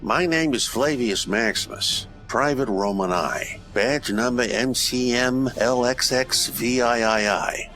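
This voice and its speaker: A man playing a wisecracking, deadpan detective of ancient Rome in an old-time radio comedy. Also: medium pitch, slow pace, hardly any pauses, over music, close to an everyday voice. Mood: wry narrating. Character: wisecracking hardboiled ancient Roman private detective, male.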